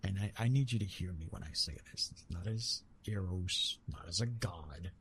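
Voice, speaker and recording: A man playing a retired god of love in an intimate ASMR roleplay, tender and teasing, speaking softly with a husky, breathy voice and halting, reassuring delivery. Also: sits low, a little hiss or hum. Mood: gently reassuring. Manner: gentle, reassuring, halting with pauses and self-corrections.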